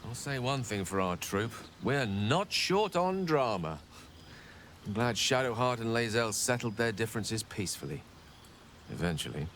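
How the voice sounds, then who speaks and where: A man's voice: medium pitch, very animated, normal speed, a bit bright, a little hiss or hum. A man playing a good-natured, heroic companion in a video game, speaking in a genial, wry way. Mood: wry relief.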